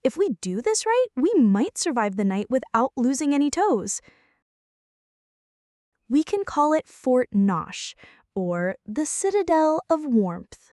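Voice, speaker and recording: A woman's voice: high-pitched, big pitch swings, slow, warm tone, no echo, studio quality, heavily acted. A woman playing a flirty, playfully bossy friend in an intimate, scripted ASMR roleplay, speaking straight to the listener with mock-dramatic exaggeration. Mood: playfully insistent.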